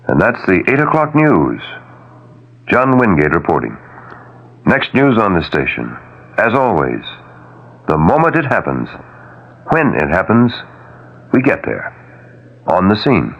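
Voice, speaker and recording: A man's voice: deep voice, huge pitch swings, measured pace, long pauses, highs cut off. An American man reading a 1970s radio news bulletin in a formal, emphatic broadcast delivery, as a newscaster.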